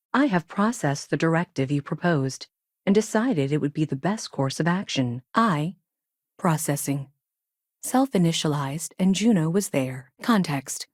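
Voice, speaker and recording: A sentient space probe intelligence for a video game. The voice is low and dry, close and free of room sound. It speaks in clipped, literal, robotic phrases, announcing each statement like a label, with an earnest, childlike logic. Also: female voice, animated, measured pace, a little mellow, no room sound. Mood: detached, logical. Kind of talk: scripted video game dialogue.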